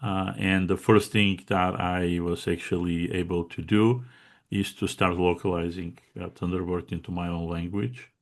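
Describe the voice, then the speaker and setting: A Bulgarian-accented man speaks in a deep voice as an interview guest. He chats casually and laughs easily, and his sentences are full of fillers and restarts. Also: steady tone, moderate pace. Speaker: guest contributor.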